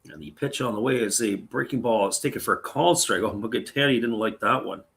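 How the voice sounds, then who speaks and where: Gravelly-voiced man calling baseball live. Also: on the low side, fast. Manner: relaxed, chatty, reads viewer comments aloud. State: voice getting raspy. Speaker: play-by-play commentator. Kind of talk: live baseball play-by-play with chat reading.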